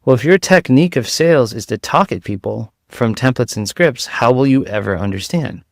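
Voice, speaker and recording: A man's voice: medium pitch, dry recording. Man with a light voice, dry sound. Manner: animated, rapid-fire analogies, rambling restarts. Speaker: guest. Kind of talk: casual interview chat.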